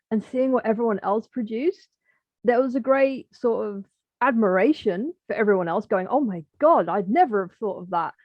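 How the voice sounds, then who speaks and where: A woman's voice: a little high, dry recording, muffled recording. A woman speaking casually and reflectively as a guest writer on a podcast.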